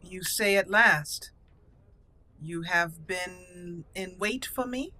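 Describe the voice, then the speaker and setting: Woman putting on a haughty, bright-voiced dwarven noblewoman. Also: fairly low pitch. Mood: aloof, guarded. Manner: formal, deliberate, haughty. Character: proud, haughty female dwarven noble warrior. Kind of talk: video game roleplay.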